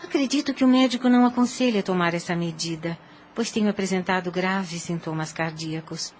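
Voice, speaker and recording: A woman's voice: fairly low pitch, fairly quick, faint music behind, natural voice. An actress playing a courteous, frail middle-aged mother in an audio drama, speaking politely and formally. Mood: regretful. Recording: background ambient noise.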